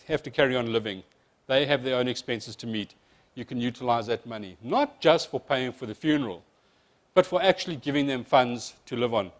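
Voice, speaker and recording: A man from South Africa with a South African accent and a slight speech impediment, giving a fast-paced, joking insurance seminar presentation to a room of advisers. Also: mid-range voice. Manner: fast-talking presenter, jokey asides. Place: seminar room with live audience.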